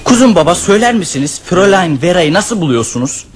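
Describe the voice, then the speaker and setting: An actor playing a hesitant teenage son in a radio play, stammering with fillers. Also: male voice, high-pitched, big pitch swings, quick pace. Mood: eager.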